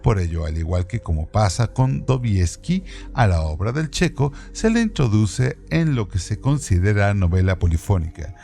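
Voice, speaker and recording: A man with a fairly low voice narrates a scripted radio piece. His delivery is formal and measured, yet the intonation moves up and down a lot. Faint music plays behind him. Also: big pitch swings, vocal fry, dark tone.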